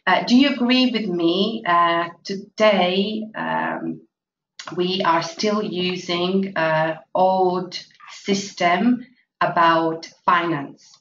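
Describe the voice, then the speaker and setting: Woman from Latvia, hesitant promotional talk, slow delivery, lowish voice, echoey room, distant dull recording with faint noise. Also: lively intonation, a bit bright. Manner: halting, many fillers, non-native grammar. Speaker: investment presenter. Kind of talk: promotional presentation.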